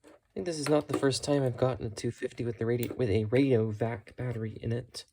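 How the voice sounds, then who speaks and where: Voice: mid-range voice, fairly even intonation, brisk, mellow, a little hiss or hum. A hobbyist vlogger giving a casual, unscripted show-and-tell in a matter-of-fact way, musing tentatively while talking. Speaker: hobbyist vlogger showing thrift-store radio finds.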